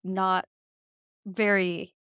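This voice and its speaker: A woman's voice: mid-range voice, level tone, very slow, fairly bright tone, clean tone, no room sound, clean studio sound. A woman speaking as a podcast guest, talking in a reflective way with lots of "like" and "right?". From United States. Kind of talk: conversational interview.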